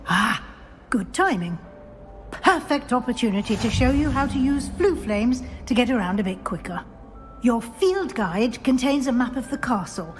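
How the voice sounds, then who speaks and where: A brisk, middle-aged British witch professor from a fantasy game, acted by a woman. Her voice sits fairly high and swings expressively as she gives formal, exacting instruction, with music underneath. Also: expressive, natural voice. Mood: brisk, instructive. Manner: crisp, formal, schoolmistress-like. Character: brisk, exacting female witch professor guiding a new student. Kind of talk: video game character dialogue.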